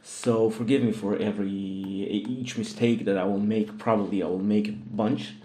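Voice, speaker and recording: A man with a Russian accent explains a software setup as a tutorial host. His voice sits fairly low and sounds mellow. He pauses on fillers and keeps checking that the viewer is following. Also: steady tone, a little hiss or hum. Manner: hesitant, many "uh" fillers and "all right?" checks. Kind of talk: screen-recorded software tutorial. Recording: echoey room.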